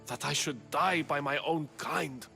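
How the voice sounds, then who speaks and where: An actor playing a theatrical, indignant and easily frightened Athenian politician in a video game. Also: male voice, a little high, a little slow, gravelly, sharp tone, faint music behind, exaggerated voice. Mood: aggrieved. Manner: agitated, theatrical, wordy.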